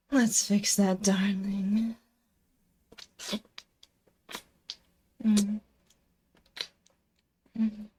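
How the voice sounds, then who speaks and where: A possessive female demon, played by a woman, speaks slowly and intimately, right up at a binaural mic. Her medium-pitched voice teases and coaxes in a condescending, controlling way, in the manner of an ASMR girlfriend roleplay. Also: darkish tone. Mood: smug, hungry. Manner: slow, coaxing, condescending pet-name teasing. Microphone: close binaural microphone.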